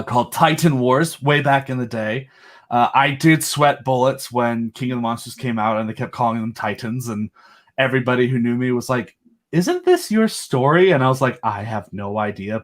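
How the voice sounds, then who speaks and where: A man with an average-pitched voice talks as a guest in a casual interview. He is enthusiastic and rambles along conversationally. His delivery is jokey, with dramatic emphasis on certain words. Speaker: guest, creator of a giant monster audio drama.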